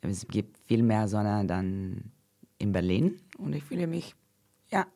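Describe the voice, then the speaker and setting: Very low-voiced Brazilian woman, slow German. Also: sing-song, warm tone. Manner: simple non-native German, slips into English words. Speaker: guest, activist.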